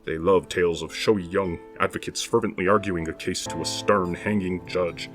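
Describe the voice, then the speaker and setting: An actor playing a pompous, grandly formal football authority of the 1920s in an audio drama. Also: male voice, sits low, fairly even intonation, normal speed, lightly acted. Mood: lofty. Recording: music playing underneath.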